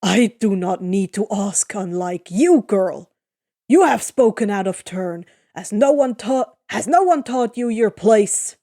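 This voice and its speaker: A woman voicing a gruff, hostile male Greek sailor with a scornful, snapping manner in a story. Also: medium pitch, lively intonation, slow, dark tone, no echo, studio quality. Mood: scornful anger.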